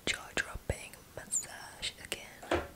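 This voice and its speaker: A young woman whispers her way through a gentle ASMR roleplay. Her delivery is extremely slow and soothing, with softly repeated words, recorded close to the mic. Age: young adult. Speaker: ASMR host. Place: small indoor room.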